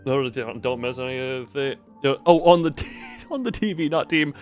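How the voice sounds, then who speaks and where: A man streaming a game, giving casual, rambling live commentary with animated reactions. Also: medium pitch, normal speed, soft background music.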